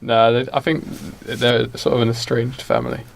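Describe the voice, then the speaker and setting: Creaky-voiced guy improvising a story on a podcast, kinda halting, faint noise. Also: mid-range voice, flat delivery. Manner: hesitant, halting. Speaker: podcast co-host. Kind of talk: casual improvised storytelling chat.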